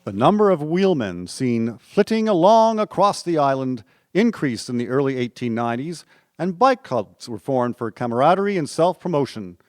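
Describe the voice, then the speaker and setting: A man's voice: medium pitch, sing-song, normal speed, dry recording, clean studio sound. A man narrating a radio drama from a script, as a historical storyteller. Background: acoustic guitar music.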